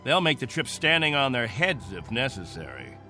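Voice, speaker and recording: An actor playing a proud, possessive middle-aged captain of stranded space colonists in a radio drama, earnest and authoritative. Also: male voice, medium pitch, very animated, normal speed, sharp tone, soft background music. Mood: weary relief.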